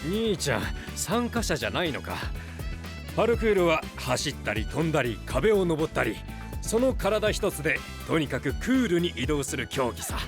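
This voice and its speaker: Friendly local guy: casual, enthusiastic, animated, slightly raised pitch, anime voice drama. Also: normal speed, music in the background. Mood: chatty, enthusiastic. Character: friendly, chatty local townsman, sports fan.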